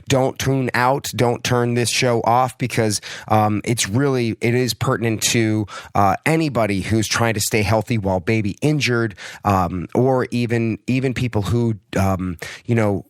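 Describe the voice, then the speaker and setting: A man with a cold and a hoarse voice, hosting his fitness podcast in a casual, rambling way full of fillers and restarts. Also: lowish voice, dry recording, studio quality. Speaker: podcast host, personal trainer.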